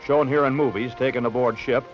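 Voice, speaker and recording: An American man reading scripted narration for a late-1960s newsreel in a measured, polished announcer delivery. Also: mid-range voice, fairly even intonation, rough recording. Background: background music.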